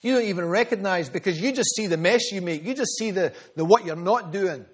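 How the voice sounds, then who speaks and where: Male preacher, high-pitched, earnest storyteller. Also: fairly quick, slightly rough. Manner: earnest, storytelling, repeats phrases for emphasis. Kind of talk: sermon.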